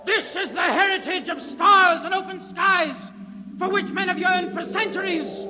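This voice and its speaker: A man's voice: extremely high pitch, unhurried, faint music behind, full character voice. An actor playing an impassioned male idealist in a 1950s radio drama, pleading and declaiming, on an old reverberant broadcast recording. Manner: pleading then declaiming, shouting. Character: impassioned male visionary Hoyland, defiant idealist.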